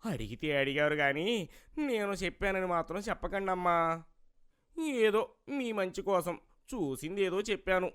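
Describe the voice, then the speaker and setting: Man acting as a sly, bright-voiced greedy vegetable seller. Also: sits high, measured pace, clear, resonant tone. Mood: feigned innocence. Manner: wheedling, deferential folksy street-vendor talk. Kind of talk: cartoon moral story character acting.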